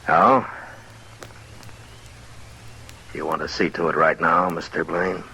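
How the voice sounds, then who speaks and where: An actor playing a stern, steady U.S. marshal in an old-time radio western, measured, authoritative and plain-spoken. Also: male voice, low-pitched, creaky, slight background noise, exaggerated voice, low-quality recording. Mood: firm warning.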